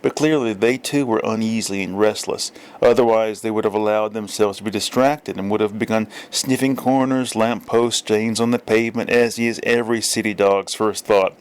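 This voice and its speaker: A man reading a literary story aloud as narrator, with measured, deliberate phrasing. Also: lowish voice, steady tone, clean tone. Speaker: audiobook reader.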